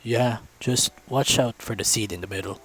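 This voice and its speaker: Man as young male furry guard captain, low voice, easygoing, earnest, gently teasing, visual novel character. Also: normal speed, faint music behind, low-quality recording. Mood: friendly.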